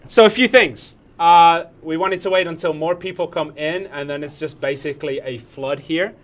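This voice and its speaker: A man's voice: on the high side, moderate pace. A man in his thirties co-hosting a live game event stream in a laid-back, jokey way. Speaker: game community manager. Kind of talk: casual livestream co-hosting. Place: studio in Korea.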